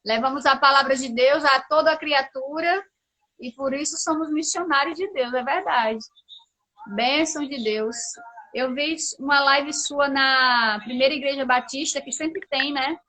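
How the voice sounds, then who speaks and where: A woman's voice: high voice, a little slow, bright, forceful tone, poor audio. A woman from Paraíba, hosting an informal religious livestream in an effusive, devout way. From Paraíba, Brazil. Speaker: livestream host. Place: remote social media livestream.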